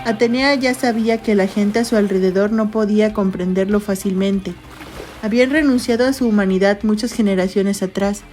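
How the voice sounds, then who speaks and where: A woman's voice: medium pitch, fairly even intonation, moderate pace, over music. A woman reading scripted literary narration for a science fiction audio story.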